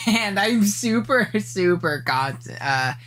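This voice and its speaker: A young man with a high-pitched, not very deep voice, live-streaming and chatting casually as he reacts to an old video, rambling, teasing his chat and poking fun at himself. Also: animated, takes it slowly, a bit bright.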